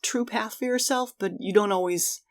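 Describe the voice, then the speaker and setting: A woman's voice: mid-range voice, normal speed. A middle-aged woman co-hosting a podcast, talking casually and reflectively in an earnest way. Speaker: podcast co-host.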